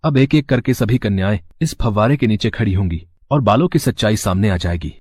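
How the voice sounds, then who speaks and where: A man's voice: on the low side, animated, a little fast, a little mellow, husky, no room sound. A man voicing a lovestruck, determined young prince in a fairy tale, formal and resolute.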